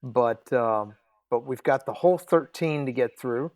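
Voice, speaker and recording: Man, casual host, unhurried, mid-pitched, halting delivery, dry sound. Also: a little mellow, dry recording. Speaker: interviewer, host. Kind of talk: casual chat.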